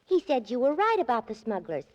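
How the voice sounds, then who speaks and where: Naive, guileless female secretary, high-pitched comic 1940s radio role, muffled recording. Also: sing-song, a little fast, darkish tone, in a large hall. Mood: guileless, chatty.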